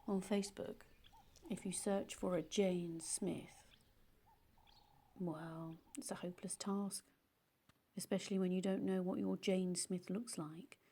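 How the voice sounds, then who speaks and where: A woman's voice: lowish voice, dark tone, husky, exaggerated voice. A woman with an English accent playing a solitary, wistful woman in an audio play monologue, confiding and reflective. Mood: rueful. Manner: musing, reflective, with tag questions and pauses. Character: solitary, wistful adopted woman searching for her birth mother.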